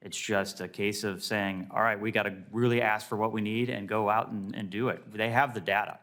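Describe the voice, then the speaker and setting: A man answering as a witness at a hearing, unscripted and plain-spoken, with a halting delivery. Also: lowish voice, steady tone, fairly bright tone. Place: committee hearing room.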